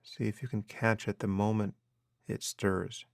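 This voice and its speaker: A man guiding a meditation as a teacher, giving measured instructions with unhurried pauses. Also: lowish voice, flat delivery, vocal fry, no echo, clean studio sound. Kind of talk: guided meditation narration.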